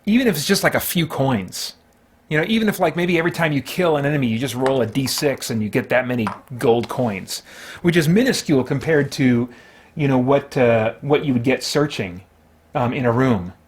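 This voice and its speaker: A man with a mid-pitched voice talks through a tabletop game as he plays it alone. His commentary is casual and chatty, full of thinking aloud and mild exclamations. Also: expressive, a little fast. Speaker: host playing a solo tabletop game.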